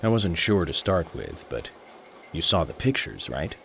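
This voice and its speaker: An actor with an American accent playing a composed, confident FBI agent in a video game, speaking in a measured, deliberate way. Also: male voice, deep voice, big pitch swings, vocal fry, fairly bright tone, slight background noise, heavily acted. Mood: calm, certain. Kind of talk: dramatic game cutscene acting.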